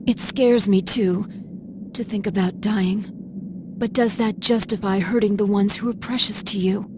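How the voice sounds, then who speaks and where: An actress playing a gentle but resolute older sister in an English anime-style video game, speaking in a measured, earnest, formal way. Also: female voice, medium pitch, fairly even intonation, noisy background, close to an everyday voice.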